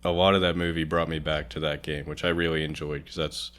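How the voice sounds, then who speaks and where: Low-voiced young man chatting as a guest. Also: flat delivery, a little fast, a bit bright. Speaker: guest reviewer. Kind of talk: casual conversational movie review.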